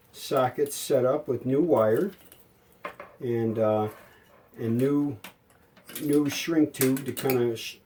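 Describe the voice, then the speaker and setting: A man giving a casual, hands-on workshop explanation as a hobbyist restorer, haltingly thinking aloud as he works. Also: medium pitch, mellow. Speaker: hobbyist radio restorer and video maker. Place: indoor home workshop at a workbench.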